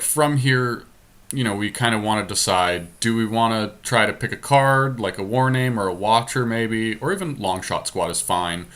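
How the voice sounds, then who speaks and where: A man with a fairly low, bright and forceful voice gives casual commentary on a card game draft. He talks through his options aloud in an analytical, conversational manner, like a host walking through his play. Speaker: podcast host and player.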